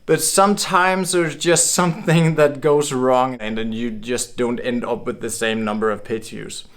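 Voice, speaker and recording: A man's voice: medium pitch, steady tone, a little slow, fairly bright tone. A man from Denmark giving a casual, upbeat explainer to camera, chatty and chuckling now and then. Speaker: blogging course creator.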